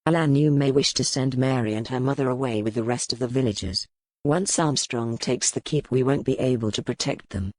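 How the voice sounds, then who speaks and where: A man playing a grim, weary, commanding medieval duke in an audiobook, speaking in formal, measured phrases. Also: mid-range voice, dry recording, natural voice. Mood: weary, resigned. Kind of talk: dramatized audiobook dialogue.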